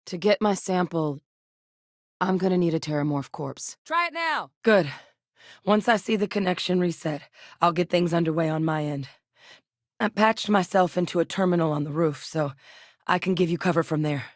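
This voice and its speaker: Low-voiced, determined woman scientist played by an actress. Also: normal speed, no echo, studio quality, close to an everyday voice. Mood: measured, determined. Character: serious, driven woman military scientist, dry and determined. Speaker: voice actress in a video game. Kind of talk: acted sci-fi game dialogue.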